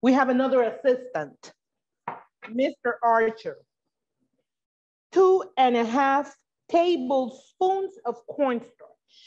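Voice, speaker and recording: Slow, high-voiced woman teaching cooking. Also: lively intonation, darkish tone. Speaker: cooking class instructor. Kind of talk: live cooking demonstration. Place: indoor kitchen with steel fridge and counters. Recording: dull, muffled lo-fi live video sound.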